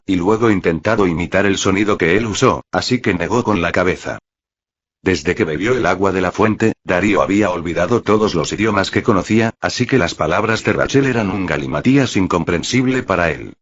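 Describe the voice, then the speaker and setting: Un hombre de voz ronca que lee una historia como narrador de audiolibro, con texto preparado. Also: male voice, deep voice, breathy edge. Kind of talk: scripted story narration. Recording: muffled, roomy, lo-fi audio.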